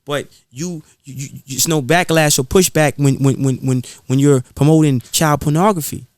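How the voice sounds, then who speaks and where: A man's voice: a little high, fairly even intonation, moderate pace, smooth, dry recording, studio quality. A man speaking in an impassioned, preachy podcast style, repeating phrases for emphasis. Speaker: guest rapper being interviewed.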